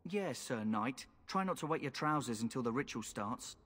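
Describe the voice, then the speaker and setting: A cocky rogue in a fantasy game cutscene. His medium-pitched voice is needling and sardonic, a pragmatic mocker heard in a long hall reverb. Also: animated, normal speed, a bit bright. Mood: mocking, sardonic.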